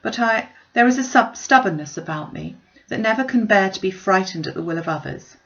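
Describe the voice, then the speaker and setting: A woman reading a storybook as a witty young gentlewoman, playful and teasing, with an arch, mock-serious manner. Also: mid-range voice, expressive, fairly quick, dull audio. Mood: playful defiance.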